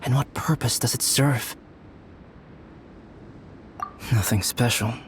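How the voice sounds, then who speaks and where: A man playing a calm, brooding young alchemist in a video game, speaking in a measured, articulate, courteous way like a reflective storyteller. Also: medium pitch, background noise, lightly acted. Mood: wistful, reflective. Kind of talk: scripted video game dialogue.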